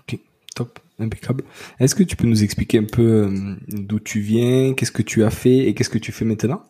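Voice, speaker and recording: A man's voice: on the low side, steady tone, moderate pace, mellow. A man hosting a sports podcast, reading a scripted welcome, then asking short interview questions. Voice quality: very husky, breathy. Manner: reads intro smoothly, asks short questions. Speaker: podcast host.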